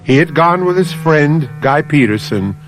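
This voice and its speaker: A man hosting a radio mystery show, narrating from a script in a measured, dramatic storytelling manner. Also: fairly high pitch, expressive, soft background music, rough recording. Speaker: host and narrator.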